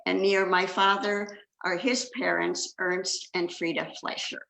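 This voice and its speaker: An older woman, born in China, gives a measured, scripted talk as a second generation Holocaust speaker. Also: lowish voice, little pitch movement, creaky, a bit bright. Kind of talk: prepared talk with slides. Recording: echoey room.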